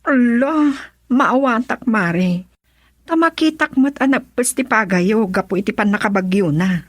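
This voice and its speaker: Ambitious matronly neighbor, Ilocano accent, radio drama. Emphatic, husky, recorded close and dry. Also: female voice, on the high side, lively intonation, measured pace, dry recording. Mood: pressing, resigned. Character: better-off village friend lending money, brisk, ambitious, matronly.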